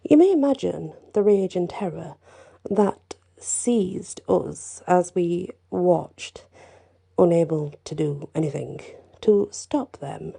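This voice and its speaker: Woman, folktale reader, gravelly, slow, whispery asides. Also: on the low side, animated. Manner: slow, hushed, with whispered passages and casual asides. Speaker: storyteller. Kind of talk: reading a folktale aloud in first person.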